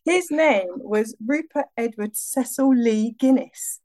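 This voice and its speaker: A woman's voice: on the high side, takes it slowly, warm tone. A woman co-hosting a podcast in a casual, chatty and effusive style with emphatic wording. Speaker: podcast co-host. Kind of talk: casual chat.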